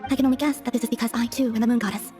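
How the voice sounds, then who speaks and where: Woman playing a high-voiced, serene moon goddess. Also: fairly even intonation, faint music behind, close to an everyday voice. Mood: detached. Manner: measured formal phrasing, soft, detached. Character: serene, enigmatic young moon goddess, aloof and softly formal. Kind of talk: video game cutscene acting.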